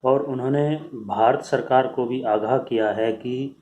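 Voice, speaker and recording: An Indian man hosting a news channel, giving unscripted commentary in a halting way, often tagging his sentences with a short filler word. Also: mid-range voice, fairly even intonation, a little mellow, dull audio, a little hiss or hum. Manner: halting, repeatedly says "okay" as a tag.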